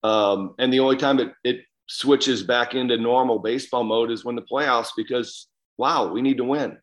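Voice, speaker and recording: A man with a medium-pitched voice co-hosts a casual sports chat. He sounds blunt and opinionated, talking as an ex-player would among friends. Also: moderate pace. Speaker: podcast co-host, former player.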